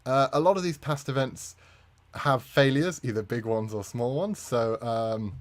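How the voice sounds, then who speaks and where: A man's voice: medium pitch, unhurried. A man, a native English speaker, presenting a conference talk as a community manager, friendly with frequent fillers.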